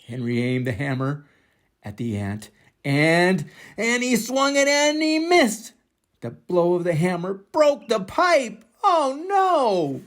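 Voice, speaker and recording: An older man reads a children's picture book aloud in an expressive, dramatic way, drawing out words for emphasis. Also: high voice. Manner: dramatic, deliberate, drawn-out emphasis. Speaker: storybook reader for children.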